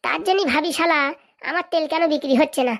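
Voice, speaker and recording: Woman playing high-voiced, rapid, bossy village madam. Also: creaky. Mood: wondering, suspicious. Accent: rural Bengali. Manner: domineering, scolding. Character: bossy, greedy village madam running for election. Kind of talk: comedy cartoon dialogue.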